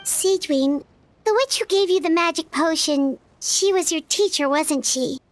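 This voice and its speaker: A woman's voice: extremely high pitch, a little slow, no echo. A woman voicing a small, earnest fairy companion in a video game, who talks about herself in the third person. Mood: curious.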